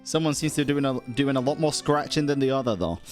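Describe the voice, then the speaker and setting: A woman's voice: rumbling low, fast talker, darkish tone, faint music behind. A woman giving casual, wry live commentary on a game as a streamer, offhand and sweary. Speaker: gaming streamer.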